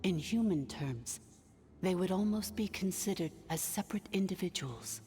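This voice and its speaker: A woman's voice: fairly low pitch, animated, warm tone, natural voice. Woman as a reverberant, solemn machine ruler. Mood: grave. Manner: formal, measured, grave exposition. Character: solemn ancient female artificial intelligence ruler. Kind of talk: video game voice acting. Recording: long cavernous reverb, music underneath.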